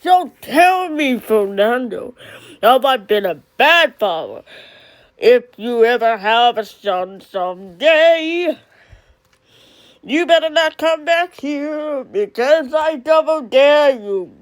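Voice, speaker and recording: A stern, controlling father, acted by a woman in a stage-style drama. The delivery is very slow and emphatic, with menace pressed into each phrase as he dresses down his son. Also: high-pitched, animated. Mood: menacing, scornful. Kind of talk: dramatic solo monologue acting.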